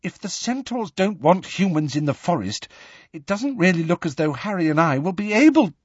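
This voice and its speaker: A man voicing an anxious teenage schoolgirl in a storybook, high-pitched, breathless and worried. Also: very animated, normal speed, husky, dull audio, heavily acted.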